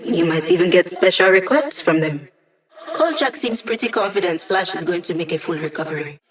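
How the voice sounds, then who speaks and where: A woman's voice: fairly low pitch, expressive, a little fast, natural voice. A woman voicing an upbeat, quirky young hacker who lives as a digital being in a video game, chatty, playful and wry. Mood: playful, teasing. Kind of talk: video game character dialogue.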